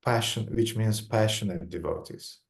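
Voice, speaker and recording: A man with a Romanian accent giving a spiritual lecture in short, halting phrases, pausing for an interpreter. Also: fairly low pitch, creaky, echoey room. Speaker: visiting lecturer. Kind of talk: lecture in English with consecutive translation.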